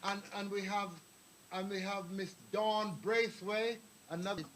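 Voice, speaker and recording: This is an older man, talking at a meeting hall. He tells stories, drifts off topic and calls out to people in the audience. His voice sits high, with a faint hiss under it. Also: fairly even intonation, unhurried. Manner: rambling, anecdotal, addresses the crowd directly. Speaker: speaker at a community meeting. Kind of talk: public address to an audience.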